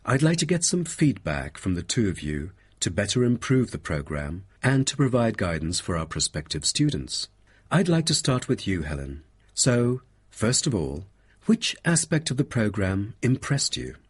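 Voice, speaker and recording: A man's voice: lowish voice, big pitch swings, normal speed, husky, no room sound, full character voice. A man playing an encouraging college tutor in a scripted exam dialogue. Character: encouraging male college tutor interviewing two students.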